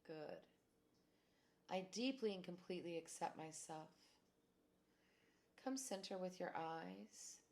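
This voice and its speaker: A woman speaks slowly and calmly with a slightly low voice, guiding listeners through a tapping exercise. She gives gentle instructions and phrases to repeat after her. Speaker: tapping practitioner.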